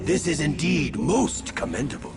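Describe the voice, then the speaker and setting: An actor playing a regal alien spiritual leader in a game cutscene, with a lofty, courtly and gracious manner. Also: male voice, on the high side, big pitch swings, moderate pace, gravelly, background noise, exaggerated voice. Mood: pleased, lofty. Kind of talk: theatrical video game cutscene acting.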